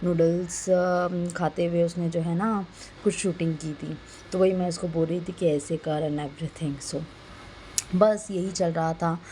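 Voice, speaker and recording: A young woman narrates a vlog in a soft, mellow voice that sits a little low. Her throat is sore and a bit hoarse, and she chats casually, dropping in English words. There is faint noise behind the recording. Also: steady tone, a little slow. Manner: conversational, mixes in English words. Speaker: vlogger narrating a family outing. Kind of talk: casual voice-over narration, chatty.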